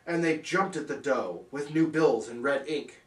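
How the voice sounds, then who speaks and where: Slow, theatrical storytelling man. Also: mid-range voice, echoey room, recorded from a distance, low-quality recording. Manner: theatrical, singsong. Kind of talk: rhyming bedtime story reading, parody.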